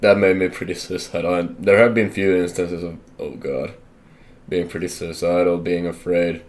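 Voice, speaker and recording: Deep-voiced young man, halting and candid. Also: fairly even intonation. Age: young adult. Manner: halting, hesitant, self-correcting, candid. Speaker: vlogger. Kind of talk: personal life update.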